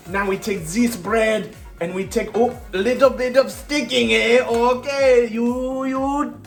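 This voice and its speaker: A man playing a jolly chef on a kids' cooking show with a put-on French accent, hammy and playful, groping for words. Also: sits high. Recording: roomy kitchen echo, faint music.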